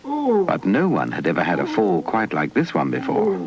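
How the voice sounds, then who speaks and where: A man's voice: lowish voice, normal speed. British guy telling a kids puppet story, super swoopy delivery, muffled lo-fi vhs tape, music behind. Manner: animated, dramatic storytelling. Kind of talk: scripted children's puppet show narration. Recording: dull lo-fi 1980s VHS tape.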